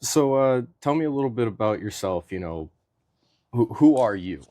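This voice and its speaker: A man's voice: mid-range voice, lively intonation, moderate pace, dark tone, dry recording, clean studio sound. A man hosting an interview podcast, introducing his guest with hesitant fillers and laughing along. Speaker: podcast host.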